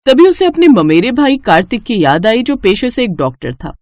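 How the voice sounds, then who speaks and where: A woman's voice: a little high, sing-song, normal speed, a little mellow, no echo. A woman narrating a scripted moral story as a storyteller.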